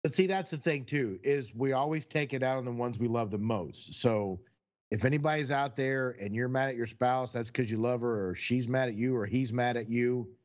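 A man's voice: medium pitch, normal speed, a little mellow. A man co-hosts a casual podcast, talking in an earnest, advice-giving way. His recording sounds slightly dull and lo-fi. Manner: earnest, gives advice to listeners. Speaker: podcast co-host. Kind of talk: casual chat.

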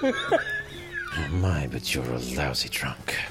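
An actor playing an uneasy medieval sheriff in an audio drama, hesitant, dry and understated. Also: male voice, very deep voice, huge pitch swings, takes it slowly, sharp tone, soft background music, exaggerated voice. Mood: disapproving.

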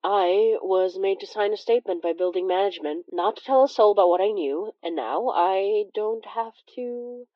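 A woman's voice: mid-range voice, no echo, lo-fi sound, lightly acted. An actress playing a young Filipino immigrant woman who recounts horrors in a conversational, hesitant, wry way for an audio drama. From Philippines. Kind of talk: audio drama first-person confessional narration.

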